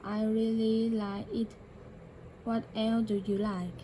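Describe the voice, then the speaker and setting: A woman practising English by repeating a dialogue line by line, slowly and carefully, with occasional self-corrections. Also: a little high, level tone, background noise. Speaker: English learner.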